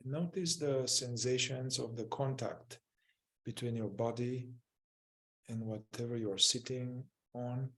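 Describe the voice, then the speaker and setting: A man leading a guided mindfulness meditation as a teacher, gently and without hurry, leaving long pauses between short phrases. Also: mid-range voice, fairly even intonation.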